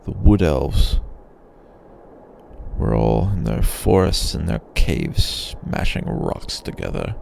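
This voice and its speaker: A man voicing an ancient, proud, weary male dragon in a possessive roleplay ASMR monologue, deep and gravelly, musing haltingly as he broods. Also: a little mellow, a little hiss or hum, full character voice.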